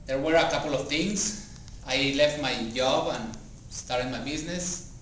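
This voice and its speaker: A man who speaks English as a second language gives a personal motivational speech to a live audience, halting and pausing deliberately as he goes. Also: fairly high pitch, fairly bright tone. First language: not English. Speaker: club member giving a practice speech. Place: indoor meeting room before a small audience. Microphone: distant camera or room microphone. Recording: very echoey room, distant mic, faint noise.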